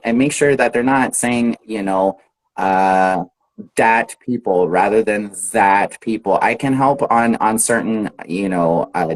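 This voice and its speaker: An American man, an English teacher, chatting casually on a live video call, relaxed and laughing often. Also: medium pitch, low-quality recording. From United States.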